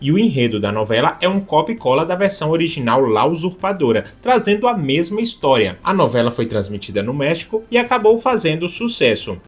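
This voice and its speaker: A man's voice: fairly high pitch, sing-song, a little fast, few pauses, soft background music. A Brazilian man narrating scripted telenovela history as a host, chatty and explanatory, speaking directly to viewers.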